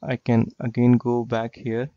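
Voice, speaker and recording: A man walking through a software tool in a screen-share tutorial, explaining plainly and repeating himself. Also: medium pitch, monotone, unhurried, no echo. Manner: plain explanatory, repeats phrases like "you get the idea". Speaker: software trainer. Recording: dry, slightly dull lo-fi voice track.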